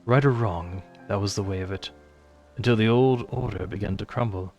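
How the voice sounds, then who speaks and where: A man's voice: fairly low pitch, moderate pace, a little mellow, soft background music, natural voice. A man playing a brooding, self-doubting young nobleman in a fantasy game, earnest and formal in delivery. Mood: anguished, resolute.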